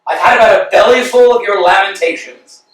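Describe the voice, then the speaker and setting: A man's voice: high voice, brisk, lightly acted. An actor reading Vladimir, a fretful, bossy male tramp, in a casual staged play reading, coaxing and impatient. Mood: exasperated. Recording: echoey room, mic far off.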